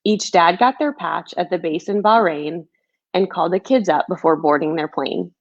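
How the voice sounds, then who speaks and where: A woman's voice: on the low side, normal speed, muffled recording. A woman who is a children's book author, speaking in a storybook read-aloud or chatty setting. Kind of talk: storybook read-aloud, rhyming, then casual chat.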